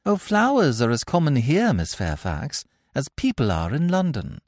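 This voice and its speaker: A man's voice: mid-range voice, wildly swooping pitch, normal speed, no echo, studio quality, voice pushed up. A man putting on a sweetly spiteful young country girl. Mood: sweetly cutting. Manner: falsely sweet, barbed politeness.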